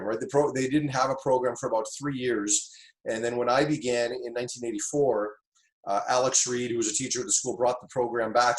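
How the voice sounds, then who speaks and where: A middle-aged man, originally from Tanzania, speaks at a mid pitch as he recalls his path into football coaching. He talks in an easygoing, reflective way, often circling back with fillers and restarts. The sound has a noticeable roomy echo. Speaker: football coach, interview guest. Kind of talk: casual interview storytelling.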